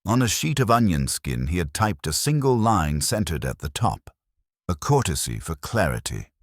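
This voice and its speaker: A man narrating a scripted detective story as an audiobook reader, with wry, deliberate phrasing. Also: deep voice, sing-song, dry recording, studio quality.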